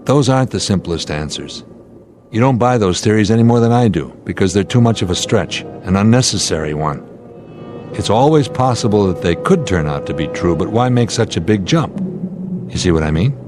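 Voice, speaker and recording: A deep-voiced American airline captain played by a male actor in an audio drama. He reasons calmly under pressure, deliberate and authoritative, explaining each point, with music behind him. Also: lightly acted. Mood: measured, persuasive.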